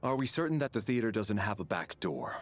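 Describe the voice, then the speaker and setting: An actor playing a stern, methodical investigator in a video game, formal and measured with calm authority. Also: male voice, on the low side. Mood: composed, firm. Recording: music behind the voice. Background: music.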